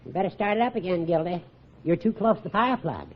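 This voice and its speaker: An American actor playing a wry, meddlesome old judge who teases dryly in a 1950s radio comedy. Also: male voice, sits high, sing-song, fast talker. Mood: needling. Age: older. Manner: dry, teasing, meddlesome, cackling. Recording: muffled, noisy old radio broadcast.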